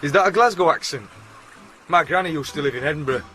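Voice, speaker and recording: Blunt Yorkshire bloke, highish voice. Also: male voice, quick pace, sharp tone, soft background music. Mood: affectionate, easygoing. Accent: Northern English, Yorkshire. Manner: matter-of-fact, bossy, plain-spoken. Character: blunt, domineering working-class drain-cleaner boyfriend. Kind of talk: radio drama acting.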